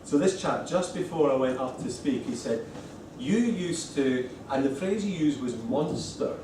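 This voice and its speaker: A man's voice: mid-range voice, lively intonation, measured pace, background noise. A middle-aged man with a Scottish accent giving an informal conference talk, stopping and restarting often. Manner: hesitant, many restarts and fillers. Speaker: speaker at a lecturers' conference. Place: conference room. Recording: echoey room from far off.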